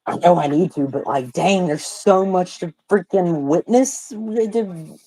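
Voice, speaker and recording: A young man hosting a live watch party stream, talking excitedly with lots of slang and exclamations, his voice hoarse and cracking from a sore throat. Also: high-pitched, measured pace, rough recording. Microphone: phone.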